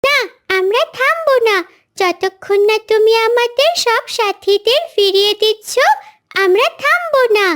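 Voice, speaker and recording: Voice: very high voice, expressive, fairly bright tone, full character voice. A performer voicing a brave, earnest little orphaned girl bird in a cartoon. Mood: rallying, determined. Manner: earnest, deliberate. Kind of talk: cartoon character acting.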